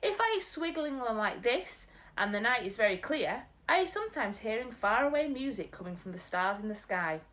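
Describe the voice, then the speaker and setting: Gentle male giant with odd mangled grammar, earnest and kindly, lively rising and falling tone, as a woman reads him in a storybook. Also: fairly high pitch, moderate pace, hardly any pauses. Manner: quirky broken grammar, warm earnest storytelling.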